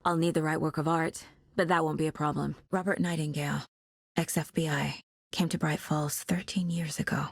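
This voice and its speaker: An intuitive female FBI agent from a video game, thinking through a case with measured intensity. Her voice is slightly low and husky with some gravel in it. The sound is dry and close. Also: flat delivery, no room sound, exaggerated voice. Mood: focused, pensive. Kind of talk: video game voice acting, investigative monologue.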